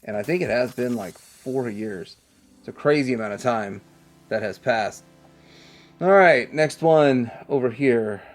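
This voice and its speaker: A man's voice: mid-range voice, unhurried, faint music behind. A man hosting a casual hands-on toy review, rambling and thinking aloud as he goes. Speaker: toy review channel host.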